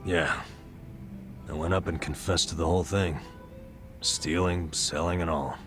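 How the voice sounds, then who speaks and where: A man's voice: deep voice, steady tone, measured pace. An actor playing a burned-out, middle-aged former nurse in a video game, telling his story with an American accent and a wry, self-deprecating weariness. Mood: resigned. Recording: music behind the voice.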